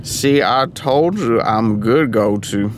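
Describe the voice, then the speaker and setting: An actor playing a drunk, superstitious man who is easily spooked and defensive, talking in a halting, tipsy way. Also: medium pitch, close to an everyday voice. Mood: tipsy, stubborn. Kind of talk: audio drama dialogue. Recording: car noise behind the voice.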